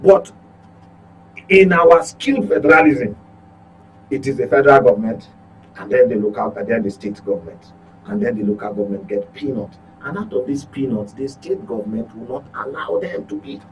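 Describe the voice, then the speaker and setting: A man's voice: medium pitch, a little hiss or hum, low-quality recording. A Nigerian man speaking as a guest commentator in a political discussion, emphatic and repeating phrases for stress.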